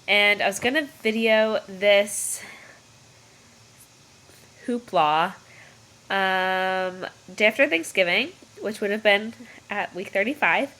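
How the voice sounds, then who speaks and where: Stuffy-nosed young woman, bright chatty voice. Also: medium pitch. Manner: chatty, rambling, laughs often. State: stuffy, nasal from a cold. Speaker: vlogger. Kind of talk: casual pregnancy update vlog. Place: bedroom, indoors. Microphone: built-in computer mic. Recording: lo-fi laptop recording.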